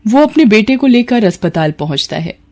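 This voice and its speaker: A woman's voice: on the high side, big pitch swings, normal speed, darkish tone. A woman narrating a scripted moral story as its storyteller. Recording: clear background noise.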